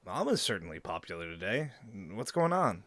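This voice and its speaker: A man's voice: on the low side, very animated, normal speed, background noise. A man acting a playful, bold young werewolf in an audio drama. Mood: serious.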